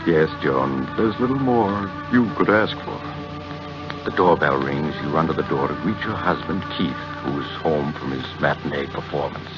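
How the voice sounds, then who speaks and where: An actor playing a suave, sinister narrator of 1940s radio mystery tales, speaking ominously and deliberately to the listener. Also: male voice, rumbling low, expressive. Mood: ominous narration. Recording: muffled old radio recording, loud music behind.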